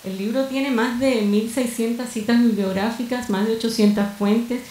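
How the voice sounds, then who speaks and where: Woman, from Cuba, panel speaker, earnest, halting with fillers, echoey hall, faint hiss. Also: a little high, steady tone, warm tone. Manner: earnest, searching for words with fillers and restarts. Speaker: panelist, director of an archive. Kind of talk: seminar panel talk. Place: seminar hall.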